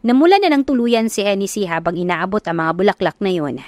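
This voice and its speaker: A woman's voice: mid-range voice, expressive, fast talker, a little mellow, no room sound. A woman with a Filipino accent narrating a scripted love story in a dramatic storytelling style. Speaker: audiobook narrator.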